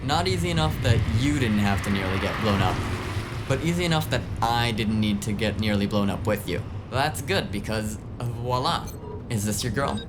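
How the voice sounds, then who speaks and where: A man's voice: fairly low pitch, expressive, moderate pace, background noise, close to an everyday voice. A man playing a grumbling, sarcastic tech whiz in a cyberpunk audio drama, talking in a casual, rambling way. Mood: wry. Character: grumbling, foul-mouthed, sarcastic male tech whiz driver.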